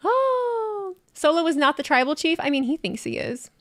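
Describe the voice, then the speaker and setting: A woman's voice: extremely high pitch, very animated, normal speed, no echo, studio quality. Giggly, foul-mouthed woman streamer. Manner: giggly, crude, self-amused. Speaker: streamer reacting to wrestling. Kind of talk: casual, profane stream chat.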